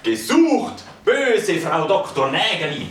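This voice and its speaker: A man's voice: sits high, big pitch swings, slow, heavily acted. A man with a Swiss German accent playing a brusque, dismissive police officer in a children's radio play, with a mocking way of talking. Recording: roomy, distant, noisy recording.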